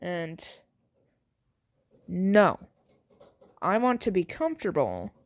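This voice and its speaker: A woman voicing a laid-back teenage schoolboy in a story, casual and calmly defiant in an everyday voice. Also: lowish voice, takes it slowly, no echo, clean studio sound, full character voice.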